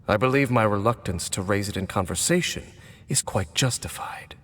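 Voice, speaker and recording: A man voicing a reserved swordsman who speaks in a measured, formal way. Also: fairly low pitch, noisy background, natural voice. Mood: grave. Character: grave, formal male swordsman with a lost homeland. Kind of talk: video game dialogue. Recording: game audio over faint music.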